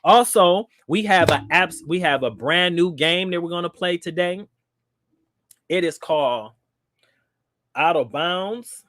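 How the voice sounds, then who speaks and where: A man's voice: sits high, unhurried, long pauses, no room sound, studio quality. A man hosting a live online discussion show, talking casually with many fillers, a little nasal from a cold. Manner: relaxed, with frequent fillers and restarts. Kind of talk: casual live-stream talk.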